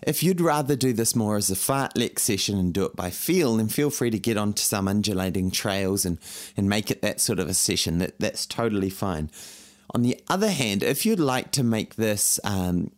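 A man with a scratchy, croaky throat from a cold, coaching runners through a workout in a relaxed, encouraging way, often repeating words. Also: lowish voice, moderate pace. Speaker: running coach. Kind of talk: guided workout talk.

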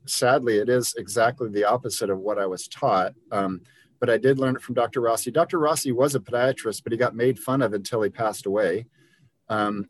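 A man's voice: medium pitch, low-quality recording. Earnest man explaining at length. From Liberia. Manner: earnest, explanatory, with frequent ums and restarts. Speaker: podiatrist guest. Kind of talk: conversational interview.

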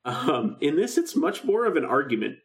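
A man's voice: a little high, sing-song, normal speed. A man co-hosting a podcast in a casual chat, talking informally and animatedly as he acts out bits of dialogue. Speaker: podcast co-host. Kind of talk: casual chat about a film radio drama.

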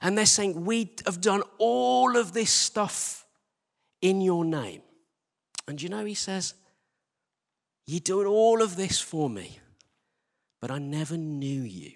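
A male preacher with a fairly high voice speaks in a long, churchy reverb. He delivers a conversational sermon with emphatic phrasing. He poses rhetorical questions and repeats key words to drive them home. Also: big pitch swings, slow, vocal fry, pauses often. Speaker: church team leader preaching. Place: large reverberant indoor church space.

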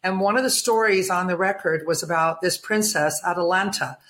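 A woman's voice: lowish voice, normal speed, fairly bright tone. A woman in her seventies from England, long settled in the United States, telling personal stories as an interview guest. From England, later United States. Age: 70s. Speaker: interview guest, retired nurse.

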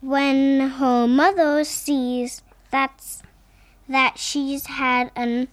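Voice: high-pitched, little pitch movement, extremely slow. A six-year-old child reading a picture book aloud with chatty asides. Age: child, about six.

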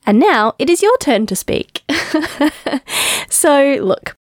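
A woman's voice: sits high, very animated, takes it slowly, dry recording, studio quality. A woman in her thirties with an Australian accent, co-hosting a casual podcast chat and talking in a chatty way full of ums. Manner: chatty, filler-heavy with frequent ums. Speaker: podcast co-host.

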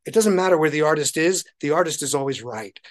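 A man with a slightly high voice speaks as a guest in a musical interview. He tells his stories conversationally, haltingly, often repeating a word or phrase while he works out the next one. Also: lively intonation. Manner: halting, repeats words as he thinks aloud. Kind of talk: conversational anecdote-telling in an interview.

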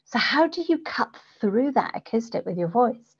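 A woman speaking as a voice coach in an interview, explaining technique in a deliberate, instructive way. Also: fairly high pitch, very animated, husky, highs cut off. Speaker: guest voice coach for public speakers. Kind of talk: explanatory interview talk with demonstrations.

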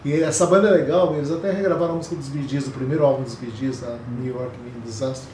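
A man co-hosting a podcast in casual music chat, rambling and relaxed, laughing often. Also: mid-range voice, quick pace. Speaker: podcast co-host. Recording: echoey room with faint noise.

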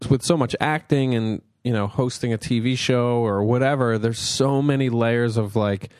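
A man co-hosting a podcast, a stand-up comic who keeps the talk easy and agreeable. His pitch is mid-range. The sound is dry and close-miked. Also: moderate pace, a little mellow, no echo. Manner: agreeable, frequent "yeah" backchannels. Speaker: podcast co-host and stand-up comedian. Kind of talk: casual podcast chat.

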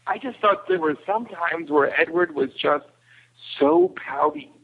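A man's voice: on the high side, very animated, unhurried, vocal fry, slightly rough, phone-quality sound. A man chatting casually as a podcast guest, giving his opinions in a review.

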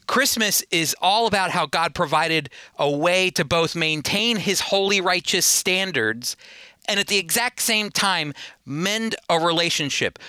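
A man's voice: fairly high pitch, bright, forceful tone, smooth, no room sound. A man teaching the Bible on radio in a conversational, earnest and emphatic way.

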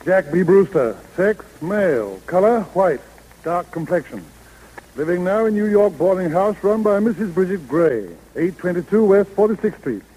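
A male actor with an American accent playing a brisk, businesslike senior lawyer in a 1930s radio drama. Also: high-pitched, lively intonation, warm tone, husky, rough recording. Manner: businesslike, authoritative. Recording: vintage 1930s radio broadcast.

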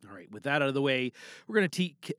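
Male podcast host, informal and playful showman, crisp, high-set voice, close dry sound. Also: very animated, quick pace, fairly bright tone, no echo. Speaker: host and voice performer.

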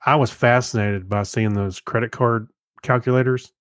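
A man's voice: medium pitch, fairly even intonation, normal speed, smooth, no echo, studio quality. A man in his fifties co-hosting a podcast, chatting and reminiscing in an informal way. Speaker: podcast co-host. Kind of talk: casual chat, reminiscing.

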